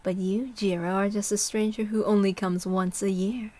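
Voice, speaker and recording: A woman's voice: mid-range voice, level tone, moderate pace, a little mellow, natural voice. A woman voicing a sly, refined female clinic worker who teases in a genteel, cryptic way. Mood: sly, ominous.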